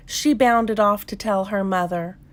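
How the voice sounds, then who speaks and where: Woman, children's read-aloud narrator, slow unhurried pace, expressive storytelling, medium pitch.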